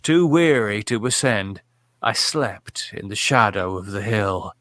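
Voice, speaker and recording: A man reading a first-person horror story aloud in a measured, solemn way, as an audiobook narrator. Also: lowish voice, expressive, a bit bright, dry recording.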